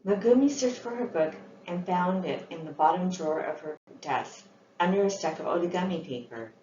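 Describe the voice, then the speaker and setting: Echoey low-voiced woman reading a storybook. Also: animated, normal speed, mellow. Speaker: children's author reading her book. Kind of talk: scripted storybook narration. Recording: roomy, muffled, with faint background noise.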